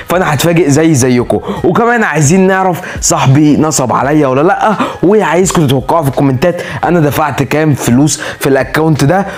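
Fast-talking excitable Egyptian young man. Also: on the high side. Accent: Egyptian Arabic. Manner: excitable, dramatic outbursts, playful threats. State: tired from no sleep. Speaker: gaming YouTuber. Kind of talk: casual game account showcase commentary.

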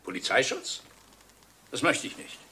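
An actor playing an agitated, defensive factory owner in a crime radio play, jumpy and repeating himself. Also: male voice, mid-range voice, huge pitch swings, measured pace, sharp tone, slightly rough, exaggerated voice, poor audio. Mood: indignant. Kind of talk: dramatic radio play acting.

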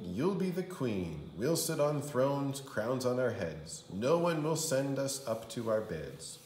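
Slow, lively man reading nursery rhymes. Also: medium pitch. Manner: theatrical, sing-song delivery of rhymes. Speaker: kindergarten teacher reading aloud. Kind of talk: expressive children's storybook read-aloud. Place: indoor room. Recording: roomy indoor echo.

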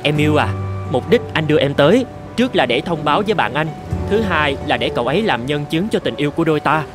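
A man playing a cheerful, lovestruck little male bird, speaking eagerly and sentimentally in an animated fairy tale. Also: on the high side, quick pace, close to an everyday voice. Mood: happy, tender. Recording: music under the voice.

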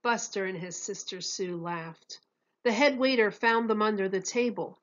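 A woman's voice: mid-range voice, normal speed, highs cut off. A woman narrating a children's picture book expressively, like a teacher reading aloud.

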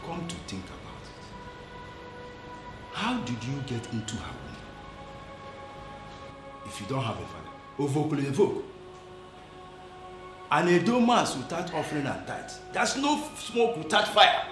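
A man's voice: mid-range voice, very animated, normal speed, long pauses, music in the background, lightly acted. A man playing someone who summons a spirit and questions her, pleading and insistent.

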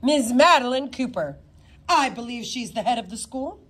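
A woman's voice: a little high, animated, normal speed, a bit bright, pitched above the performer's own voice. A woman voicing a male spy who poses as a composed, polite, businesslike police detective in a storybook.

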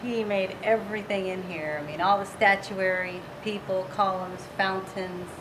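A middle-aged woman answers casually as an interviewed restaurant owner. Her voice is medium in pitch, picked up by a camera a few steps away in a dining room with clear background noise. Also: steady tone, moderate pace.

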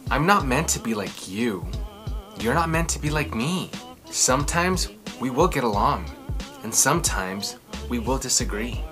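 A man in his thirties reading a storybook aloud and reviewing it to camera in a theatrical, expressive way. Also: medium pitch, a little slow, over music. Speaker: children's book reviewer and host. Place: small furnished room at home, indoors.

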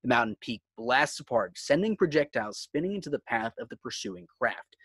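Male narrator for sci-fi script read: mid-pitched, scripted stage directions, close dry sound. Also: sing-song, fairly quick, a bit bright, slightly rough, dry recording.

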